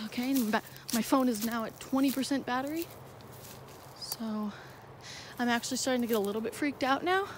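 A young woman vlogging on a night walk through a marshy wood, talking hesitantly with many fillers into a handheld phone. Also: on the high side. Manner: rambling, hesitant, lots of fillers. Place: outdoors in a dark wooded marsh at night. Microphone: phone held in hand. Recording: noisy outdoor phone recording.

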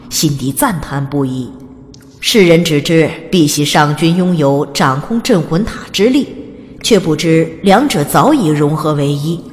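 A woman narrating a fantasy audiobook in an even, storytelling delivery. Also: sits low. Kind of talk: scripted narration. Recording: long reverb.

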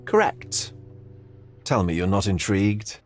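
A man playing a sly, hedonistic, eccentric art gallery owner in a video game, with drawn-out theatrical philosophizing. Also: fairly low pitch, sing-song, faint music behind. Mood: intrigued, grave. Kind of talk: video game cutscene acting.

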